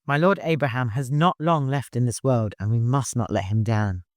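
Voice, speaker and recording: A man's voice: medium pitch, moderate pace, no echo, studio quality, close to an everyday voice. A man voicing a dutiful, careful steward in an audiobook, frank and formal in manner. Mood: firm. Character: dutiful, careful male steward leading a mission.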